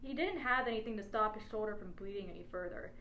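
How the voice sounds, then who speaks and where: A woman's voice: medium pitch, quick pace, a bit bright, dull audio. A woman reading out a humorous adventure story as its narrator, in scripted prose. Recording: echoey space with faint background noise.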